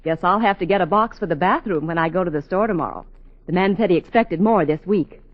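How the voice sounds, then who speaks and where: A woman's voice: lowish voice, quick pace, close to an everyday voice. An actress playing a busy, mildly fussing housewife and mother in a 1940s radio advert. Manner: muttering to herself, calling out. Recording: dull 1940s radio recording.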